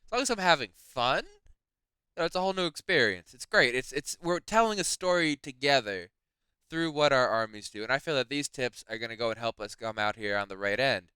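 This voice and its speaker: Hyped up guy hosting a gaming channel, bright crisp voice, kinda worn out. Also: medium pitch, lively intonation, fairly quick, no room sound. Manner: upbeat, hyped greeting. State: tired from staying up. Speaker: host. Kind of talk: casual video intro.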